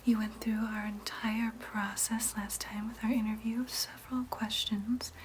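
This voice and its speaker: Woman acting as female vampire clinic nurse, ASMR roleplay, soft breathy whisper, slightly high voice, soothing, reassuring, careful explanations, noisy background. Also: level tone, a little slow, natural voice. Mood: reassuring, welcoming.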